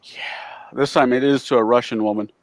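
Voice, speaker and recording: A man chatting loudly and boisterously during a tabletop game livestream. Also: mid-range voice, fairly even intonation, fairly quick. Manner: loud, boisterous talker. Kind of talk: casual chat on a livestream.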